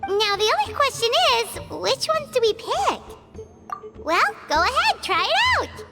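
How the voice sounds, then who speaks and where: A woman's voice: very high voice, very animated, a little slow, exaggerated voice. A woman voicing a tiny, eager, childlike fairy companion in a video game, with an exclamatory manner. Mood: chirpy, pondering. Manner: refers to self in third person, exclamatory. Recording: music behind the voice.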